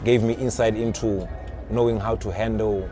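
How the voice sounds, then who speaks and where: A man chatting earnestly outdoors near traffic, often stopping to hunt for the right word. Music plays loudly behind him throughout. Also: fairly low pitch, fairly even intonation, moderate pace. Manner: searching for words, repeats himself, earnest. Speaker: interviewee, spiritual seeker. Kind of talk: casual conversation. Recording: music playing loud behind.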